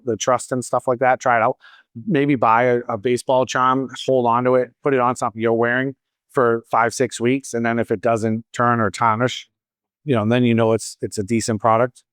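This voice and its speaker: A man's voice: medium pitch, a bit bright, clear, resonant tone, no echo, studio quality. A man in his fifties from Boston, with a slight Boston accent, chatting as a salon owner who answers audience questions and tells stories with wry asides. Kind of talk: casual Q&A talk at a training event.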